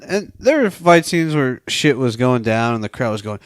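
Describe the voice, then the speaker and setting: A man's voice: medium pitch, sing-song, moderate pace, no echo, clean studio sound. A man co-hosting a film podcast, talking casually with slangy, profane wording.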